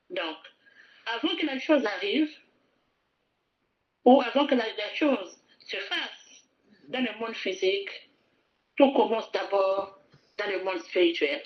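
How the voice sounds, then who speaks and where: A woman preaches with fervour, circling back over her phrases and urging the congregation to repeat after her. Her voice sits on the higher side. The recording sounds muffled and lo-fi. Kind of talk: sermon.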